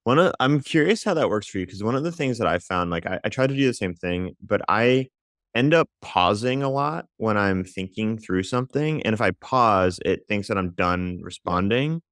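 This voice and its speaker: A man with a very low voice talks as a guest on a podcast. He is chatty and animated, and he tells stories quickly with plenty of fillers. The sound is dry and close. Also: no room sound. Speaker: podcast guest, writer and founder. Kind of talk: conversational interview.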